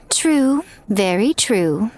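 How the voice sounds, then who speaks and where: A woman voicing a sly, elegant, teasing woman in a video game, with a coy, drawn-out, mock-sympathetic delivery. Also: fairly high pitch, sing-song, a little hiss or hum, heavily acted. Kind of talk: animated game voice acting.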